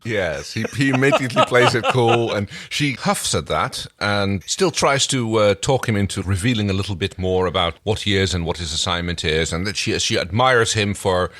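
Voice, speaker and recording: A man whose first language is Dutch, casually recapping a TV show as a podcast co-host, haltingly searching for words. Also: on the low side, lively intonation, fairly bright tone, no echo, clean studio sound.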